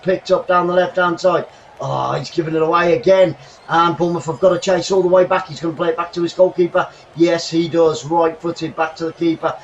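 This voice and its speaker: A man hosting a live football watchalong from his bedroom, commentating the match and thanking viewers, getting excitable and shouting when chances come. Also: a little high, highs cut off, slight background noise. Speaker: watchalong host. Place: home bedroom.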